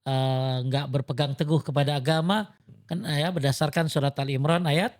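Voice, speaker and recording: A man's voice: fairly high pitch, fairly even intonation, normal speed. A man gives informal religious instruction. He is didactic, posing questions, answering them and repeating key phrases for emphasis. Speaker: religious teacher giving advice as a guest. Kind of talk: informal Islamic teaching in a group conversation.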